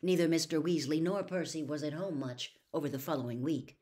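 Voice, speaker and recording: A woman reading a story aloud in an expressive storybook narration style. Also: sits low, brisk.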